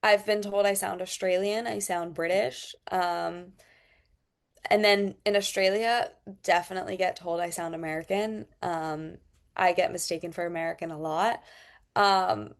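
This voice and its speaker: A woman's voice: on the low side, fairly even intonation, normal speed. A young woman with a mixed international accent and long vowels, giving thoughtful interview answers with frequent hesitations. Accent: mixed international, elongated vowels from Thai. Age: young adult. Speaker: podcast guest, international student.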